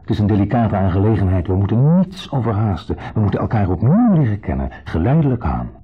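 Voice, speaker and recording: An actor playing a shabby, self-pitying ex-husband who talks with deliberate, quiet menace in a radio drama. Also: male voice, lowish voice, sing-song, breathy edge. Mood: smooth, insinuating. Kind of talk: 1970s radio drama acting. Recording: dull 1970s radio play recording.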